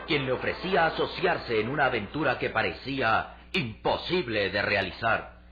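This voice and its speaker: Male narrator, Latin American Spanish, dramatic scripted delivery, medium pitch, dull radio sound, clear music behind. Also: animated, moderate pace, sharp tone.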